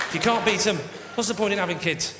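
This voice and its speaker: Northern English male stand-up, high and animated, echoey theatre, heavy laughter noise. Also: fast talker, a loud crowd. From Lancashire, England. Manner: deadpan delivery with loud shouted put-on voices. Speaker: stand-up comedian. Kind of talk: stand-up comedy. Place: large theatre stage. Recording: roomy hall with loud audience. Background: loud audience laughter.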